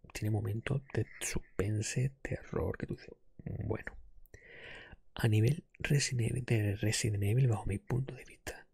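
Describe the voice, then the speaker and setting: A man softly whispering and murmuring an unscripted ASMR chat as a podcast host, close to the microphone. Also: on the low side, gravelly, husky, no echo.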